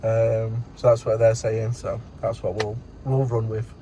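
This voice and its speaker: A man's voice: on the low side, little pitch movement, unhurried, warm tone, slight background noise, poor audio. A man giving a casual, rambling vlog update as a courier driver, in colloquial everyday speech.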